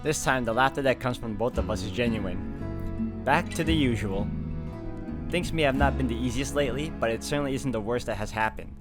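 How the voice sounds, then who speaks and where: Man, let's player, mid-range voice, expressive story narration, guitar music in background. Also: mid-range voice, brisk. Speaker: let's player reading game text aloud. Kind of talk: expressive read-aloud narration of a visual novel.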